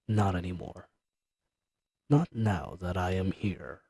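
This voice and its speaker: A man's voice: deep voice, takes it slowly, a little mellow, breathy edge, no room sound, full character voice. A man voicing an ancient dragon familiar in an intimate audio roleplay, speaking formally, possessive and seductive, condescending yet soothing. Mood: tender, possessive. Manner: formal, archaic diction, soothing and teasing. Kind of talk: intimate scripted roleplay audio addressed to the listener.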